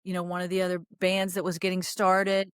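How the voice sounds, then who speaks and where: A woman's voice: fairly low pitch, completely flat delivery, fairly quick, smooth, dry recording. Rambling woman podcast host, dry close sound. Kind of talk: casual conversational interview.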